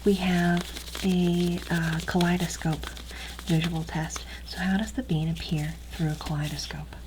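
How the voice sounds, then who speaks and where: A woman speaking softly and often whispering, with a breathy, halting, unhurried delivery, as an instructor in an ASMR training roleplay. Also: lowish voice, little pitch movement, background noise.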